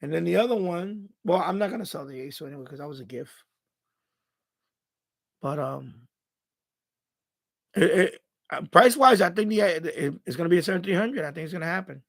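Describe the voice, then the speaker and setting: Man, high-pitched voice, gravelly creak, casual live stream chat, halting and rambling. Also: expressive. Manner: rambling, halting, thinking aloud.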